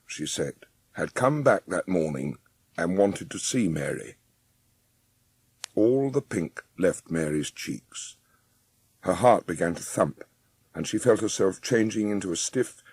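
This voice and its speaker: A man's voice: fairly low pitch, a little mellow, breathy edge, no room sound. A man narrating a dramatised children's storybook in a scripted audiobook style.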